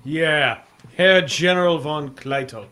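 An actor playing a tough, sardonic American reporter in a 1950s radio drama, with wry, clipped delivery. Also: male voice, on the high side, slow pace, pushed and bright. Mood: sardonic, assured. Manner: hard-boiled, clipped, wry. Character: tough, sardonic American newspaperman hero and narrator.

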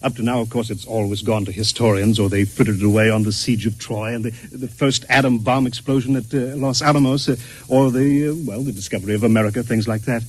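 An actor playing a courtly, excitable male scholar from the future in a vintage radio drama, formal, precise and fussy in explanation. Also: mid-range voice, quick pace, lightly acted, rough recording. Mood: fussily explaining. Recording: hissy old-time radio recording.

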